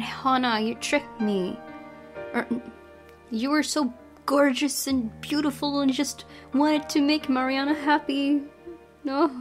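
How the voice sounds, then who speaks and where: A young woman playing a game, reading its text aloud and reacting casually with giggles and exclamations. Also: sits high. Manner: giggly, exclamatory, self-correcting. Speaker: let's player.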